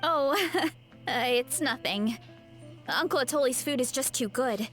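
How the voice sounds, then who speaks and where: A woman's voice: sits high, measured pace, a bit bright. A woman voicing a modest, cheerful young woman who is a champion eater. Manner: shy, cheerful. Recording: game audio with background music.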